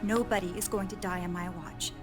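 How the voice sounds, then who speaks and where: Woman playing a resolute ship commander over loud music. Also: on the low side, fairly even intonation, brisk, natural voice. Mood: resolute, earnest. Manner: earnest, determined, speech-making. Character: resolute female ship commander rallying her crew. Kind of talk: dramatic video game voice acting.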